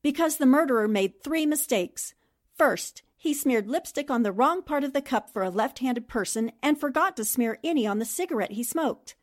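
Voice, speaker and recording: A woman's voice: sits high, lively intonation, moderate pace. A woman playing a shrewd, self-assured newspaperwoman sleuth in 1940s radio mystery style, explaining things precisely and methodically. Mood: confident, methodical.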